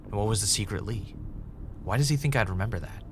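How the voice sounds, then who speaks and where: A man's voice: lowish voice, fast talker, background noise, lightly acted. A man playing an anxious, sarcastic investigator in an audio drama. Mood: shaken, tense.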